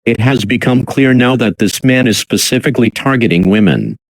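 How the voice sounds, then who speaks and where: Man, emergency broadcast announcer, scripted, measured official delivery, medium pitch, dry close sound. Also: no room sound.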